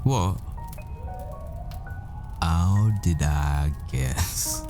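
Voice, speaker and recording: Boyfriend roleplay lead, male actor: haunted hitman living as a professor, very deep male voice, measured delivery, intimate and smitten. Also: expressive, music in the background, full character voice. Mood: fond, teasing. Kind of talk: ASMR boyfriend roleplay, dramatic monologue.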